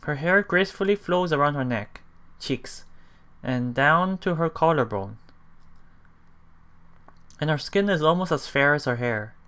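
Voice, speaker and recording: A man's voice: fairly high pitch, lively intonation, a little slow, long pauses, sharp tone, clean tone. A man hosting a let's play, reading a visual novel aloud and adding casual reactions, sometimes repeating himself. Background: game music.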